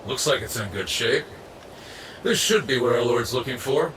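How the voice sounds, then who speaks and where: A man's voice: medium pitch, animated, moderate pace, natural voice. Man acting a smug echoey enemy soldier. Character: gruff male enemy soldier, smug and menacing. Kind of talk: video game voice acting. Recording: echoey, noisy game ambience behind.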